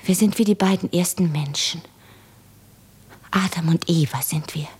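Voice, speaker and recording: A woman's voice: fairly low pitch, moderate pace, darkish tone. An actress in a German radio play, playing an anguished, devoted woman in love who is broken by war, speaking in a lyrical, impassioned way. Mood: hushed, despairing. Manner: impassioned, lyrical, dropping into breathy whispers.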